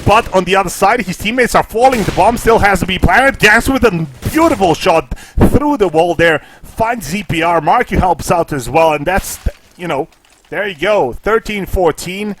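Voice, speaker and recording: Higher-voiced male esports caster. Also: normal speed, slight background noise. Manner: excitable, rises in pitch and volume at big plays; casual, jokey asides. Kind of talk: live play-by-play commentary.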